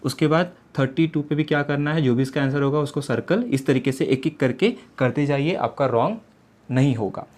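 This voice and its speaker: A man's voice: fairly high pitch, normal speed, a little mellow. A young man, an exam coaching teacher, explaining step by step in a tutorial and asking himself rhetorical questions as he goes. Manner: repeats rhetorical questions, mixes English words into Hindi.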